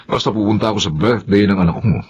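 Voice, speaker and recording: A man's voice: on the low side, quick pace, a little mellow, husky. An actor playing a firm yet placating young father in a Filipino radio drama, with a Filipino accent. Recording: dull lo-fi radio audio, faint noise.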